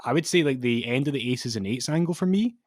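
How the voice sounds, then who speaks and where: A young man co-hosting a podcast from his home study, in relaxed, rambling, jokey chat. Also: mid-range voice, quick pace, no echo, studio quality. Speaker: podcast co-host. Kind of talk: casual chat.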